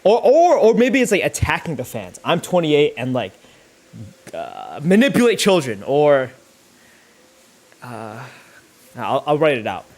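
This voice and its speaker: A man's voice: on the high side, wildly swooping pitch, measured pace, pauses often, a little mellow. A young man chatting casually and thinking aloud as he works, rambling in slangy, sweary language. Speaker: YouTuber livestreaming thumbnail making. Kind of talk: casual chat, thinking aloud while working.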